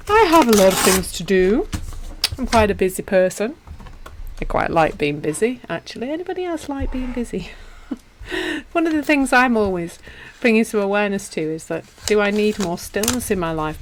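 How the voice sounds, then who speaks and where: A woman with a Yorkshire accent teaching and chatting casually with viewers on a live stream, self-deprecating and warm in her asides. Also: mid-range voice, slight background noise. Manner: chatty and self-deprecating, with frequent restarts and laughs. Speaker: meditation teacher.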